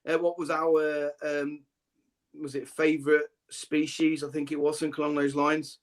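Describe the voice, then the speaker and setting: A man's voice: a little high, moderate pace. A man chatting casually about ant keeping, rambling a bit with plenty of "um"s and "uh"s. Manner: rambling, relaxed, many "um"s and fillers. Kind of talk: casual chat.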